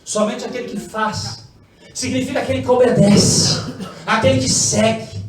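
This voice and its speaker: Mineiro Brazilian pastor, very high male voice, fervent call-and-response preaching, distant and echoey church hall with music. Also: fairly even intonation, moderate pace. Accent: Mineiro Brazilian Portuguese. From Brazil, Minas Gerais. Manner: impassioned, swinging from hushed asides to shouted climaxes. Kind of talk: Pentecostal sermon with call-and-response. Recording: very echoey church, far from mic, music behind.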